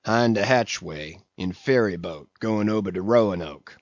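A man's voice: fairly low pitch, a little slow, no room sound, lo-fi sound, natural voice. A man voicing a sulky ninety-year-old ship's cook in a storybook, muttering and grumbling in a heavy dialect. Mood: testy.

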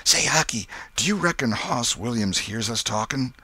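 A man voicing an anxious young boy in a storybook, hushed and urgent, with rustic speech. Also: on the high side, big pitch swings, moderate pace, vocal fry, slightly rough, no room sound, pitched above the performer's own voice, exaggerated voice. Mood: hushed, uneasy. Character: anxious, whispering young boy, superstitious but reassuring.

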